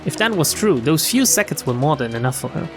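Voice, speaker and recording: Male game streamer, lively and expressive, lighter pitch, narrating and commenting casually. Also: brisk, music in the background. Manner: expressive reactions, casual swearing. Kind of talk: let's-play reading of a visual novel with casual commentary.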